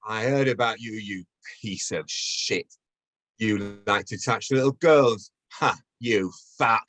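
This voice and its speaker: A man's voice: lowish voice, slow, fairly bright tone. A man playing an aggressive, bullying pimp in his fifties in a screenplay table read. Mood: raging, threatening. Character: raging thin pimp in his early fifties, threatening, foul-mouthed.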